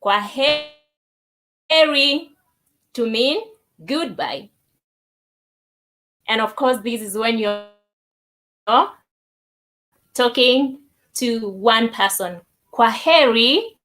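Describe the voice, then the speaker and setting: Lady teaching a language, higher voice, really slow and repeats stuff. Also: fairly bright tone, low-quality recording. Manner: deliberate, repeats words for learners. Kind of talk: language lesson, demonstrating greetings.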